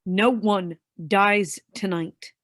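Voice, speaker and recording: A woman's voice: medium pitch, fairly even intonation, very slow, a bit bright, no room sound, clean studio sound. An actress playing a tough, protective woman vigilante in a staged play reading. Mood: threatening.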